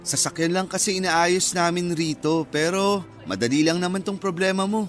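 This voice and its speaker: A modest young mechanic, played by a man, in a Filipino radio drama. His voice is high for a man, polite and casual with a shy edge, over faint music. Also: normal speed, close to an everyday voice. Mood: polite, helpful.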